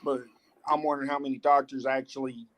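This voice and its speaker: A man's voice: medium pitch, flat delivery, fast, a loud crowd. A man talking earnestly and insistently as a guest on a casual livestream.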